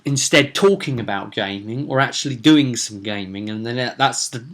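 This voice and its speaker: A man talking informally as a game master in a vlog, in a rambling, digressive way with halting self-corrections. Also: medium pitch, a bit bright. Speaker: game master recapping his roleplaying sessions.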